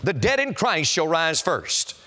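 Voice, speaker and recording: A middle-aged or older male preacher with a high-pitched voice. He delivers an evangelistic sermon to a congregation in an auditorium, emphatic and declamatory, pressing solemn warnings on his listeners. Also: sing-song, a little slow, bright, forceful tone.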